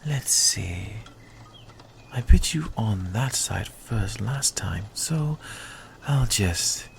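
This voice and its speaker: An actor playing a sly, centuries-old vampire in an ASMR roleplay, speaking in a hushed, deliberate, guarded way. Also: mid-range voice, animated, vocal fry, a little hiss or hum, exaggerated voice. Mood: predatory, pleased.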